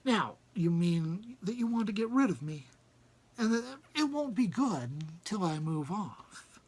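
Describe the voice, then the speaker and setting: A man voicing an old wandering wizard in a storybook, testy and pedantic, with a deliberate questioning manner. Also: high-pitched, sing-song, darkish tone, breathy edge, heavily acted.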